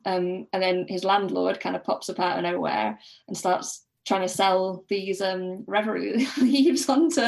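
A woman talks casually about books as a podcast guest. Her voice is distinctly creaky and sits at an unremarkable middle pitch. She is tentative and agreeable, quick to chuckle at her own remarks. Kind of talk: casual book discussion.

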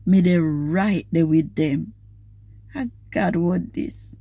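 A middle-aged woman with a Jamaican accent reading aloud devotionally in a measured, expressive way. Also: fairly low pitch, breathy edge, dry recording. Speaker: scripture reader. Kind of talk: devotional read-aloud in a casual, personal setting. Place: inside a parked car.

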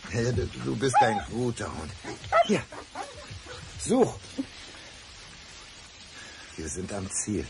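An actor playing a coldly calm husband who plots murder, speaking deliberately in a German radio crime drama. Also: male voice, medium pitch, close to an everyday voice. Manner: deliberate, menacing composure, philosophizing. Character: coldly calm husband plotting to kill his wife. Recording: 1990s radio play with music behind.

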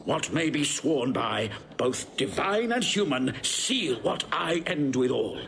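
Proud Roman warrior, classical declamation, contemptuous, swooping delivery, slow pace, faint background noise. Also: male voice, mid-range voice, sing-song, vocal fry, fairly bright tone, full character voice. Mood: scornful, impassioned. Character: proud, scornful Roman warrior nobleman, furious at commoners. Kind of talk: classical Shakespearean stage acting. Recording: restored old recording, audible background noise.

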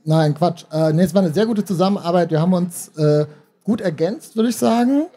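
A man's voice: high voice, brisk, warm tone. A man in his thirties answering casually as a guest in a live interview. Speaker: podcast author being interviewed. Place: small stage at a book fair. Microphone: handheld microphone.

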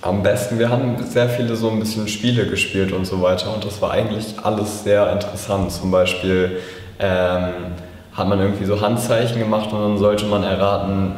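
A twenty-year-old man from Germany answering interview questions thoughtfully, pausing on fillers. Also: lowish voice, level tone, darkish tone. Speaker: camp participant. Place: indoor room against a bare wall. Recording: echoey room with long reverb.

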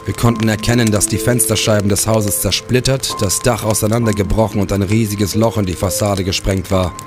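An actor playing a grim police investigator who narrates his case in a crime audio drama, grave and measured. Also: on the low side, steady tone, over music, lightly acted.

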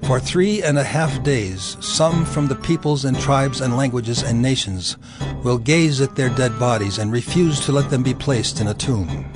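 A man giving solemn, deliberate dramatic narration of scripture. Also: medium pitch. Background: loud music.

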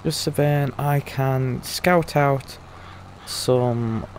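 A man's voice: mid-range voice, steady tone, extremely slow, slight background noise. A young man in casual let's-play commentary, chatty and rambling, his voice still a bit rusty after losing it. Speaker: gaming YouTuber.

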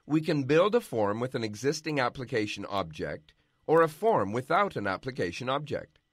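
A man giving scripted, measured narration for a software tutorial. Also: medium pitch, very animated, breathy edge, no room sound, clean studio sound. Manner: measured, instructional.

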